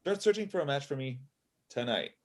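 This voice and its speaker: Man acting as breezy boss, male, casual, offhand, medium pitch, comedy read, video call. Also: lively intonation, fairly quick, close to an everyday voice. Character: breezy, self-assured male matchmaking business owner. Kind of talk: comedy script table read. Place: indoor room at home. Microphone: video call, sitting back from laptop.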